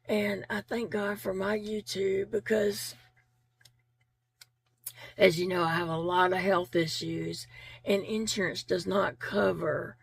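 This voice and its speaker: A woman vlogger whose voice has gone raspy and is fading, with a strong gravelly fry in every phrase. She talks earnestly and thankfully to her viewers, circling back on her words with easy fillers. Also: medium pitch, steady tone, takes it slowly, slight background noise. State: losing her voice. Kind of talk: casual heartfelt chat to viewers.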